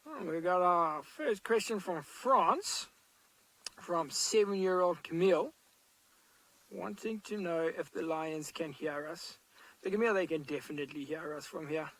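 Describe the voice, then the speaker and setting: A man, a safari guide giving loud, animated live commentary from an open vehicle outdoors. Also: a little high, unhurried, rough recording.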